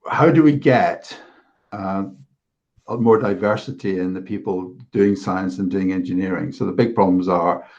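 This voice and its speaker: Guest: man, lowish voice, earnest, restarts a lot, muffled lo-fi line. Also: steady tone, unhurried, a little mellow. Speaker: guest, STEM ambassador. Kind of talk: casual discussion.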